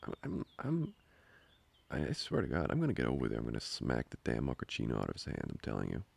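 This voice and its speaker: A man playing a jealous, protective young boyfriend in an improvised ASMR roleplay, grumbling with halting, sarcastic asides. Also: wildly swooping pitch, heavily acted. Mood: indignant. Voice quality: very deep, gravelly, husky.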